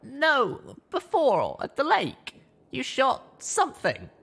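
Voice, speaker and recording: A man's voice: extremely high pitch, wildly swooping pitch, takes it slowly, gravelly, a bit bright, slightly rough, pitched above the performer's own voice, exaggerated voice. A man voicing an earnest, anxious young female pony in an audiobook story. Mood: alarmed.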